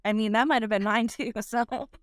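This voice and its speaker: A young woman hosting an interview, cheerfully reading out rapid-fire questions in a brisk manner. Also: fairly high pitch, fairly even intonation, no echo, studio quality. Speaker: interview host.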